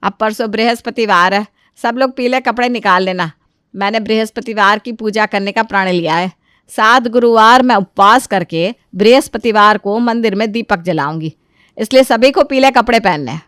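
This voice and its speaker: A woman's voice: medium pitch, normal speed, fairly bright tone, smooth, no room sound, clean studio sound, voice pushed down, natural voice. A woman voicing a stern, devout and domineering older Indian mother-in-law in a moral story, with a commanding, scolding manner. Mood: bossy, pious. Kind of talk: acted dialogue in a moral story.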